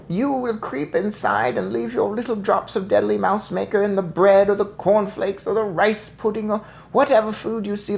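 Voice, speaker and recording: A woman voicing a fierce, bossy, excitable old grandmother in an emphatic, theatrical storybook reading. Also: mid-range voice, creaky, pitched above the performer's own voice, lightly acted. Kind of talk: storybook read-aloud.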